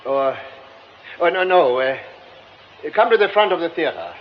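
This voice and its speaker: An actor playing a courteous, insistent gentleman who speaks formally and stammers as he begs someone to come with him. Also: fairly high pitch, expressive, a little slow. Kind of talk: radio drama acting. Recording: muffled old radio play with faint hiss.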